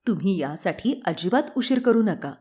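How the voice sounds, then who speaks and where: A senior storybook queen, voiced by a woman narrator, counselling her king. She is grave and respectful, pressing her advice with quiet worry. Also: medium pitch, lively intonation, moderate pace, husky. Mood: grave, urging. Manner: respectful, urging. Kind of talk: storybook character acting.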